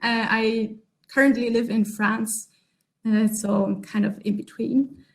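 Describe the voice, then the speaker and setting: A woman's voice: a little high, steady tone, a little slow, creaky. A woman from Germany, leading an online workshop session over a video call in a chatty, upbeat way with many ums. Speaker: workshop facilitator.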